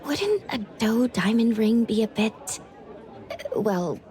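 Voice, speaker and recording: A woman's voice: a little high, very animated, takes it slowly, warm tone. A woman playing a polite, level-headed young woman in an anime game, asking thoughtful questions courteously. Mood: musing, curious. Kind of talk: anime game dialogue acting. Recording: music and faint crowd behind.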